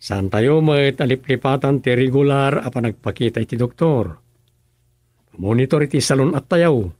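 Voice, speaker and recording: A man's voice: medium pitch, expressive, moderate pace, darkish tone, no echo, close to an everyday voice. An actor with an Ilocano accent playing an easygoing, busy rural councilman in a radio drama, talking conversationally with frequent interjections. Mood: earnest, advising. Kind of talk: radio serial drama acting.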